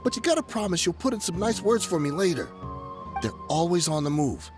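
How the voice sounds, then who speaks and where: A nervous, bargaining smuggler from a video game. His fairly high voice leaps up and down as he wheedles and dodges. Music plays clearly behind him. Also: brisk. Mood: grudging. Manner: wheedling, evasive, excitable. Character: shifty, nervous, bargaining male smuggler and ex-mercenary.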